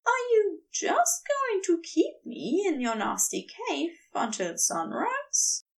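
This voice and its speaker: A woman's voice: very high-pitched, huge pitch swings, slow, creaky, far from the mic, voice pushed up, heavily acted. A woman voicing a prim, pouting male angel who is fond but mock-indignant, in an audio story. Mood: petulant.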